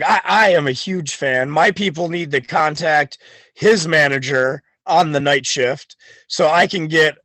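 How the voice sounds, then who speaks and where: A man's voice: a little high, a bit bright, no echo. A man talking as a wrestling guest on a podcast, boastful and hyped, full of swagger. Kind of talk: podcast chat.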